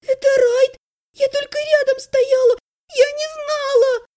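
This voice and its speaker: Narrator voicing a shrill, hysterical young woman. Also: fairly even intonation, slow pace, fairly bright tone, exaggerated voice. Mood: whining, tearful. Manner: shrieking, whining delivery. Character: hysterical young sister, self-pitying, squealing and whining. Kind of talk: audiobook character acting.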